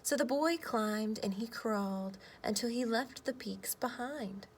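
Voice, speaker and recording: High-voiced woman reading to children. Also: a little slow. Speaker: teacher reading aloud. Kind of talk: children's storybook read-aloud and lesson talk. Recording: clear background noise.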